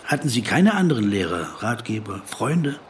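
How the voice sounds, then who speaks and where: An actor playing a polite, self-conscious writer in a German radio play, probing hesitantly and adding dry, ironic asides. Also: male voice, medium pitch, very animated, normal speed, slight background noise, lightly acted. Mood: probing, self-mocking. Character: wry, polite, self-conscious male writer interviewing a celebrity. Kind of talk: German radio play dialogue and inner narration.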